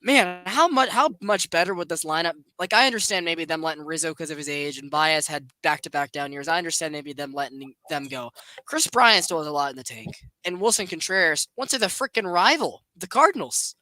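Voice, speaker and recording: A young man hosting a podcast, chatting casually about baseball in an animated, rambling way. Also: on the high side, brisk, dry recording. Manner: animated, rambling, laughs often. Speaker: podcast host. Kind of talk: casual sports chat.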